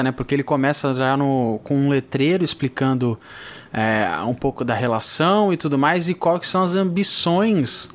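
A man's voice: mid-range voice, slight background noise. A man with a Brazilian accent hosting a film podcast, chatting casually and descriptively in colloquial, conversational speech. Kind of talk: casual film review discussion.

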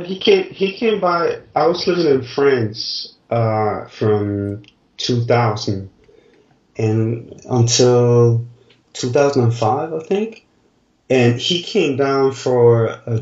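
A man in his forties speaks in a slow, halting way, often stopping to find the right word. His voice comes through a distant, roomy remote connection. The audio is dull and muffled. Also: medium pitch, a little mellow. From Denmark. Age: 40s. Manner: slow, halting, searching for words. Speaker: dancer guest. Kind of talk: casual interview answers.

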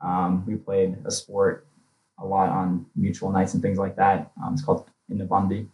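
A young man in his twenties talking casually as an interview guest, chatty and quick to laugh. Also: low-pitched, completely flat delivery, darkish tone, poor audio. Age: 20s. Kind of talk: casual conversation.

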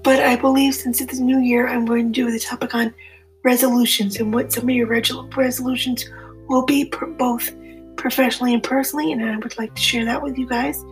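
Apologetic woman podcast host, narrow pitch. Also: little pitch movement, faint music behind. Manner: apologetic, halting with restarts. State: sick, fighting a virus. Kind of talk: casual update to listeners.

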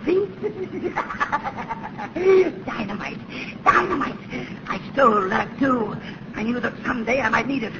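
An actor playing a deranged, murderous villain who cackles and gloats, repeating himself manically, in a 1930s radio thriller style. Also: male voice, expressive, slow pace, distant mic, full character voice. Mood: gloating, menacing. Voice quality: high, shrill, cackling. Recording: noisy, muffled old radio recording.

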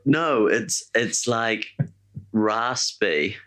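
A young man with a New Zealand accent talks in a fairly low, somewhat monotone voice. His delivery is laid-back and rambling, with plenty of "like" and "eh" as he chats on a podcast. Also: drawn-out words. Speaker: podcast co-host. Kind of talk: casual chat.

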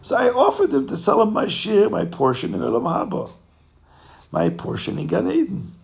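Innkeeper, jolly and mocking, gravelly, pitched up high; a man voicing him. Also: wildly swooping pitch, normal speed, full character voice. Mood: mocking laughter. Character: laughing tavern innkeeper, middle-aged man, jolly and scoffing.

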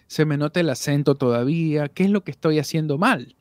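A man's voice: a little high, fairly quick, a little mellow, no room sound, clean studio sound. A man, a voice actor guest on a podcast, talking candidly over a video call with frequent restarts. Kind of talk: casual podcast interview.

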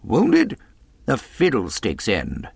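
A man's voice: medium pitch, animated, normal speed, gravelly, no room sound, studio quality. A man voicing a blunt, scornful country doctor, commanding and matter-of-fact. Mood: scornful, brisk.

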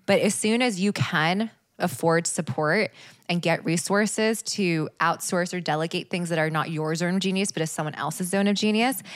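A woman with a slightly low voice talks earnestly on a podcast. She keeps things flowing with frequent "like"s and "right?" as she makes her point. Her tone stays conversational throughout. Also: normal speed. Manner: earnest, fluent, many "like"s and "right?". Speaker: podcast guest, healer. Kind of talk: casual podcast chat.

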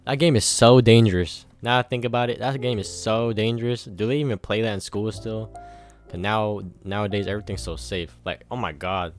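A man's voice: lowish voice, fairly even intonation, brisk, soft background music. A twenty-one-year-old man hosting a solo podcast, rambling casually with lots of swearing and pep-talk energy. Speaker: podcast host.

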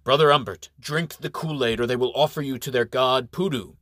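A commanding inquisitor who speaks firmly and forcefully, performed by a male narrator. The recording is dry and close. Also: medium pitch, a little fast, dry recording, close to an everyday voice. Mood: stern.

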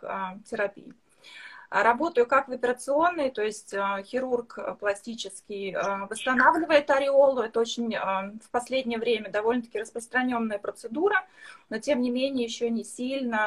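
Hesitant woman with a somewhat high voice. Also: a bit bright. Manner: hesitant, many filler sounds, occasional slips between languages. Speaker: medical permanent makeup specialist. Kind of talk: online live interview.

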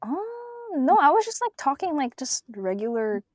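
A young woman from Korea chats casually on a podcast, full of fillers and quick laughs. Her voice is recorded dry and close, with no room around it. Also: sits high, very animated, dry recording. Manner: chatty, many fillers, frequent laughs. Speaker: podcast co-host. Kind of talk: casual chat.

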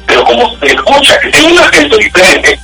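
A Mexican man chats as a guest in a high, very lively voice with a sharp, forceful edge. He tells things casually and acts out reactions. The sound is roomy and distant. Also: a little fast. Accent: Mexican Spanish. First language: Spanish. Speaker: interview guest. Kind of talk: casual chat, anecdotal. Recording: roomy, distant call with faint music.

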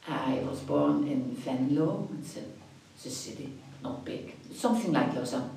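A woman's voice: rumbling low, expressive, normal speed, vocal fry, warm tone, heavy reverb, far from the mic, a little hiss or hum, low-quality recording. A Dutch woman in her fifties, chatty, answering interview questions and often restarting her phrases. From Netherlands. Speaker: after-school activities coordinator.

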